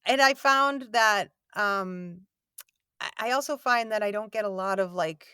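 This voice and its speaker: A woman voice actor chatting in an interview, rambling and self-deprecating, with a warm voice that has a slight gravel to it. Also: mid-range voice, unhurried, dry recording, clean studio sound. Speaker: voice actor guest. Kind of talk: casual interview chat. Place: home recording booth.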